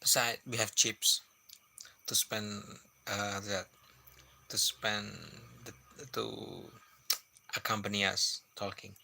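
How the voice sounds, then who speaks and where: A man chatting softly on a late-night livestream at home, often searching for his words. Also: lowish voice, little pitch movement, takes it slowly, gravelly, long pauses, a little hiss or hum. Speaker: live streamer. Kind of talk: casual late-night livestream chat with viewers.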